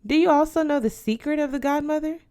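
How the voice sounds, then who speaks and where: A woman's voice: high-pitched, quick pace, warm tone, voice pushed up, lightly acted. A woman voicing a proud, affectionate older godmother in a storybook, advising with emphasis. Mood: proud, confiding.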